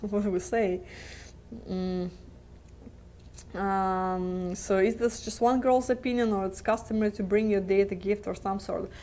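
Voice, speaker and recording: A woman in mid-pitch hosts a live question-and-answer webcast, chatting freely and laughing easily between answers. Her voice is wearing thin from a long stretch of talking. She speaks loosely, with plenty of fillers and restarts. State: losing her voice.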